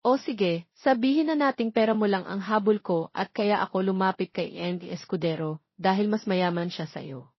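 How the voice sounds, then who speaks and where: A woman's voice: medium pitch, normal speed, no echo, lo-fi sound, lightly acted. A woman narrator voicing a fierce, proud young woman scolding her cheating ex in a dramatic audio story. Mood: scornful, threatening. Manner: sharp, defiant, scolding.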